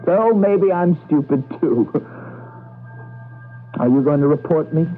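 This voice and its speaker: A man's voice: on the high side, lively intonation, unhurried, faint music behind. An actor playing a wry, guarded male citizen of a regimented future in a radio drama, speaking in a casual, hesitant way. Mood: wry flirting. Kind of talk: 1980s radio drama acting. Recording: thin phone-like old radio recording.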